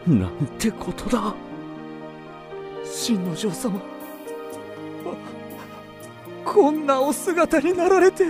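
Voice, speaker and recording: A man's voice: very high voice, very animated, slow pace, over music, full character voice. A man playing a loyal, panicky manservant in a radio drama, speaking with stammering alarm. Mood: grief-stricken. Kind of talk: Japanese radio drama acting.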